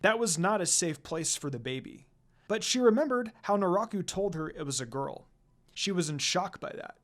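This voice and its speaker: A man reads fiction aloud as a narrator. His voice is on the high side and very lively, swinging widely in pitch for dramatic effect. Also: normal speed. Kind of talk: dramatic story reading.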